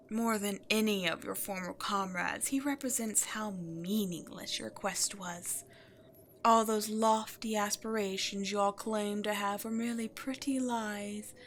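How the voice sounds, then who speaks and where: An imperious demon queen, acted by a woman, speaks with a bright, forceful edge and slow, deliberate timing. She is seductive and haughty, a theatrical villain from an audio roleplay. There is a clear layer of noise behind her. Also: mid-range voice, lightly acted. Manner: theatrical, breathy asides, haughty. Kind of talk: scripted ASMR audio roleplay addressed to listener.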